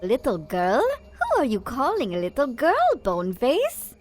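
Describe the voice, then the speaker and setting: A proud young girl singer from a cartoon, played by a woman, bristles quickly and defiantly. The voice sits fairly high and leaps around, though the pace is unhurried. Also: wildly swooping pitch, faint music behind, heavily acted. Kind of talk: animated film voice acting.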